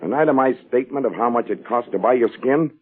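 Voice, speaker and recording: An actor playing a blunt, insistent gang accomplice, hard-bitten and persuasive, in a 1940s radio crime drama. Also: male voice, mid-range voice, fast, slight background noise, close to an everyday voice. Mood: pressing. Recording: muffled, band-limited 1940s radio recording.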